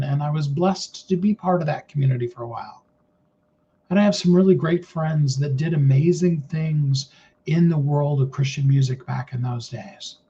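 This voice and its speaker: A middle-aged man with a naturally high voice giving an earnest, rambling motivational talk with many fillers and restarts. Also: dark tone, muffled recording. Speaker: motivational speaker.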